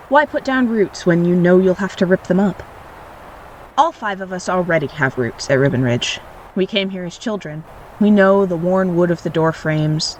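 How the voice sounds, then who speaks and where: A woman playing a wry, reflective storyteller, narrating an audio drama in a measured way. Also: lowish voice, a little hiss or hum, close to an everyday voice, rough recording. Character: wry, reflective storyteller recalling childhood mischief.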